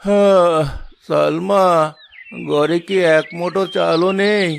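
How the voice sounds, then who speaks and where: A man's voice: high voice, steady tone, slow, a little hiss or hum, full character voice. A man voicing a poor, weary, kind old village fisherman in a cartoon fairy tale. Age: older. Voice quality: creaky, gravelly.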